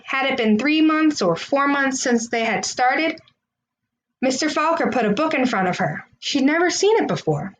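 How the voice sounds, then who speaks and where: A woman reading a children's storybook aloud, with expressive, deliberate phrasing. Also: fairly high pitch, slight background noise, rough recording. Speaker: storybook reader.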